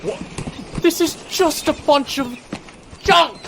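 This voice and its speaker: A man's voice: very high voice, lively intonation, takes it slowly, gravelly, noisy background, full character voice. A man playing a hesitant, comically dim treasure hunter's sidekick in an audio drama skit. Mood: outraged. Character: slow-witted, dismayed treasure hunter sidekick.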